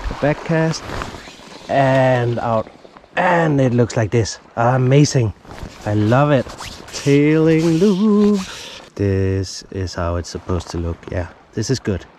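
A man in his thirties hosting a fishing vlog outdoors on a sea shore, talking in a relaxed, chatty, self-mocking way into a lapel mic. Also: a little high, noisy background. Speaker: fishing show host.

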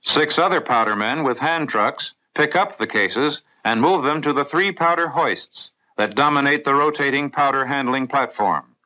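A man with an American accent reading scripted mid-century instructional film narration for the navy in a clear, methodical, authoritative way. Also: medium pitch, expressive, moderate pace, sharp tone, rough recording. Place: voice-over recorded in a studio.

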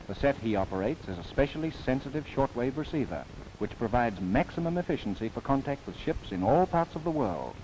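A man delivers formal, scripted documentary narration in the style of the 1930s. His mid-pitched voice rises and falls in broad, animated sweeps while staying measured and earnest. It sounds like an old film soundtrack, with a little background noise. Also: rough recording.

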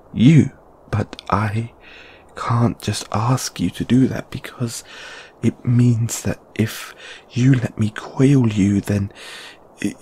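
A man playing a shy, anxious, lovelorn young lamia flatmate in an intimate audio roleplay, speaking hesitantly with stammers and sighs. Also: lowish voice, creaky, a little hiss or hum.

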